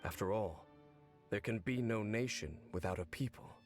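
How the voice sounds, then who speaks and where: A man voicing a brooding, knowing wanderer in a video game, speaking in a measured, grave and cool way. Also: on the low side, lightly acted. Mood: pensive, probing. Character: brooding, knowing male wanderer from a fallen nation. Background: game music.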